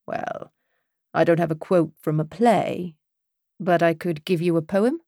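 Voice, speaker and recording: Woman voicing a charming, flirtatious British man, unhurried. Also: lowish voice, mellow, no echo, clean studio sound. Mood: thoughtful.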